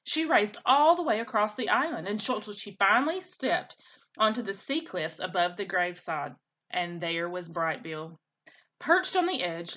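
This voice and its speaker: Seeking a female teacher-narrator for children's books: expressive, animated intonation, medium-pitched and bright. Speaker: teacher reading aloud. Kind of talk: children's storybook read-aloud.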